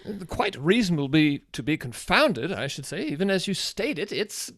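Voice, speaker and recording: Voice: medium pitch, sing-song, moderate pace. An actor playing a bewildered, deferential detective's friend in a live radio drama, hesitant and stammering. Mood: hesitant, uneasy. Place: closet or desk at home.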